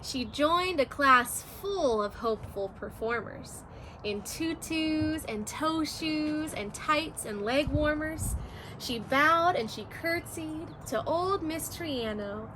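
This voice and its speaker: A woman's voice: sits high, expressive, slow, noisy background. A woman teacher reading a rhyming children's picture book aloud, in a sing-song, rhythmic storytelling manner.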